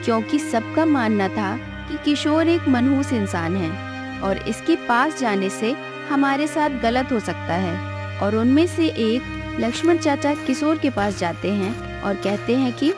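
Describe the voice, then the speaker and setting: A woman's voice: a little high, lively intonation, unhurried, over music. A woman narrating a scripted moral cartoon story as its storyteller.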